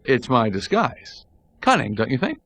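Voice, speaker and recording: Animated wisecracking young male bee. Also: medium pitch, measured pace, heavily acted. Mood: confident, sly. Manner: wry, conversational, excitable. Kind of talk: animated game voice acting.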